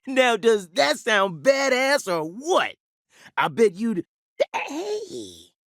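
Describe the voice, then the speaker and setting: A man's voice: very high-pitched, big pitch swings, extremely slow, vocal fry, fairly bright tone, no echo, clean studio sound, exaggerated voice. A man playing a folksy, jovial small-town sheriff in a video game, with a rambling Southern US drawl. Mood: jovial, chatty.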